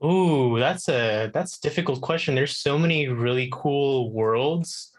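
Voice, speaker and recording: A man's voice: mid-range voice, a little slow. A man chatting casually as a guest on a talk show, easygoing and agreeable. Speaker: guest, video game contributor. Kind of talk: casual conversation.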